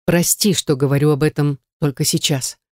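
A woman's voice: low voice, steady tone, moderate pace, a little mellow, no echo, studio quality, lightly acted. A woman narrator voicing a stern, commanding man in love with a serious, muted delivery in an audiobook. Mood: solemn.

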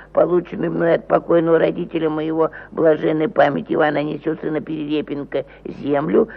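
A pompous provincial landowner in a mid-century radio drama, full of wounded indignation. His voice is fairly high and creaky, and he declaims each phrase slowly and ponderously. The sound is narrow and tinny, like an old broadcast. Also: full character voice. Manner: pompous, ponderous, with stumbles over words. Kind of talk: theatrical mid-century radio play acting. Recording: phone-like narrow-band old 1950 radio recording.

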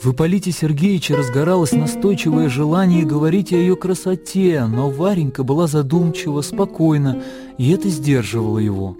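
A man narrating a literary radio play in a measured, composed storytelling manner. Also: a little high. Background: music.

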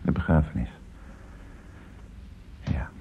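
An actor playing a weary, grieving old father in a radio drama, muttering haltingly and repeating himself with gentle bewilderment. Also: lightly acted. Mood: bewildered grief. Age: older. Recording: muffled phone-like band, noisy old radio recording.

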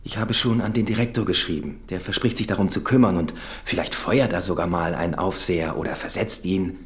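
An actor playing a sympathetic prison doctor, calm and kind, in a radio play. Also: male voice, low-pitched, brisk, close to an everyday voice. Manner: calm, kind, frustrated at authorities. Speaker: doctor Cassini.

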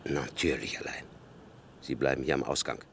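Bossy fbi chief, super deep voice, clipped orders, old radio crime play. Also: male voice, normal speed, creaky, a little hiss or hum. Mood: tense. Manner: clipped, commanding. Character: stern middle-aged male FBI agent in charge.

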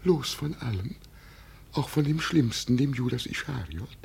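Deluded old emperor, slow and swooping. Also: male voice, mid-range voice, expressive, vocal fry, husky, full character voice. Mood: anxious, relieved. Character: deluded old father who believes himself an emperor. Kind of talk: 1950 German radio drama acting. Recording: noisy vintage 1950 radio recording.

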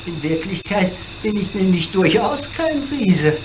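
An actor playing a lonely, courteous old make-believe giant in a German radio play, plaintive and deliberate. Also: medium pitch, very animated, noisy background. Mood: grateful, melancholy.

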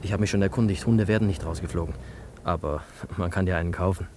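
Actor as an earnest low-voiced young refugee man. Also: steady tone, fast talker, background noise, close to an everyday voice. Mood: dreamy, persuasive. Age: young adult. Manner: hesitant, urgent pleading. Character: earnest young refugee engineer pleading with his sweetheart. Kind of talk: radio drama acting.

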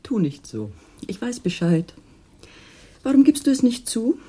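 An actress playing a jealous, despairing wife in a German radio play, with a bitter, accusing manner and a husky voice. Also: female voice, fairly high pitch, big pitch swings, measured pace, exaggerated voice. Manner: accusing, bitter, often hushed. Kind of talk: 1980s German radio drama acting.

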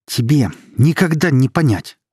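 A man's voice: lowish voice, expressive, normal speed, darkish tone, breathy edge, no echo, studio quality. A man voicing a proud, resentful teenage younger brother, defensive and indignant, in an audiobook story. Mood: furious.

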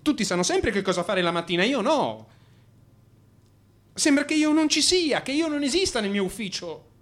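A man's voice: extremely high pitch, sing-song, fairly bright tone, full character voice. Brooding, troubled man, confessing. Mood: anxious, lost. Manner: brooding, halting, introspective, repeating phrases. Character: troubled man in crisis, melancholy, self-blaming, confessing. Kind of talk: radio drama monologue.

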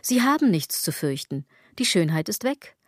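Sardonic aging woman, lowish voice, dry close studio sound, declamatory, ironic, radio play. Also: big pitch swings, moderate pace, dry recording. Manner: ironic, declamatory, wordplay-laden delivery. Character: sardonic aging woman musing on fashion and consumerism. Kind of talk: literary radio drama monologue.

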